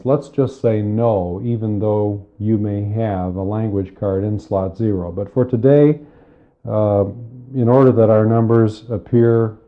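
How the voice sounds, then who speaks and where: Man, low voice, methodical tutorial instructor, explanatory, pausing delivery, muffled lo-fi cassette recording. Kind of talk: spoken step-by-step computer lesson. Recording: dull lo-fi cassette tape.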